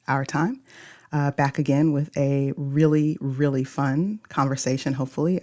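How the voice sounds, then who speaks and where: A woman's voice: rumbling low, a little mellow. A woman hosting a podcast interview, who talks casually and encouragingly and laughs often. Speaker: podcast host.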